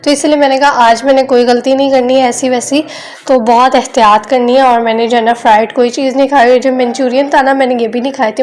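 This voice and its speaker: A woman's voice: fairly high pitch, darkish tone. Chatty young woman vlogging. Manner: chatty, homely, frequent filler phrases. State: sore throat. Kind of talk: casual vlog voice-over.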